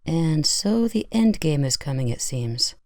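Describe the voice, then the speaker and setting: A bitter pony analyst acted by a woman with a dark, close and dry sound. Her delivery swings widely in pitch yet stays sarcastic and curt, in audio drama style. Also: very animated, unhurried, dry recording, heavily acted. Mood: sardonic. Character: bitter, sardonic, obsessive female pony analyst.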